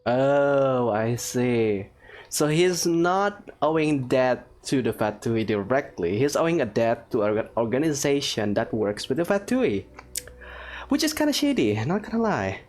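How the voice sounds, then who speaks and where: A man's voice: medium pitch, sing-song, unhurried, darkish tone. A man giving casual let's-play commentary as a gaming streamer.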